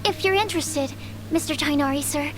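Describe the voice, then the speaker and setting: A woman's voice: sits high, fairly quick, close to an everyday voice, rough recording. A woman voicing a shy, studious young alchemist's assistant in a video game, earnest and polite. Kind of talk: scripted video game dialogue.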